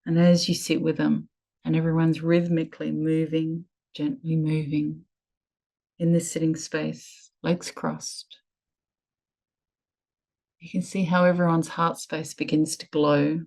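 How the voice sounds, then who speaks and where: A woman leading a guided meditation in a soothing, measured way with lingering pauses. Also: deep voice, little pitch movement, creaky, mellow.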